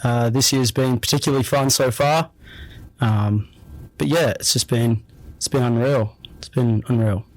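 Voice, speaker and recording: A man's voice: medium pitch, moderate pace, no echo, poor audio. A young man with an Australian accent, answering interview questions in an easygoing way with plenty of fillers. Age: young adult. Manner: relaxed, easygoing, repeats himself with frequent fillers. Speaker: guest athlete. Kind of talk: casual radio interview.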